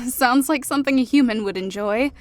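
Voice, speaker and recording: A woman playing a kind, teasing elf in an audiobook, speaking softly with amusement over music. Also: sits high, normal speed, close to an everyday voice. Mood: amused teasing. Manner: soft, amused. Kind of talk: audiobook character acting.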